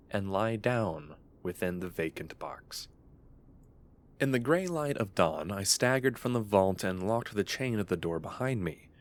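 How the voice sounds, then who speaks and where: A deep male voice of an actor embodies a brooding young man gripped by morbid obsession. He narrates in the first person with solemn, ornate, old-fashioned diction, in the manner of a gothic horror radio play. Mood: morbid fascination. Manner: solemn, formal, archaic and literary diction. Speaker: narrator and lead character.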